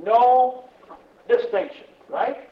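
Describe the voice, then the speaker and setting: A man leading a church workshop talk, loud but not booming, halting with many fillers and quizzing the audience. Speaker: workshop teacher. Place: meeting room, away from the podium. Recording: dull lo-fi recording.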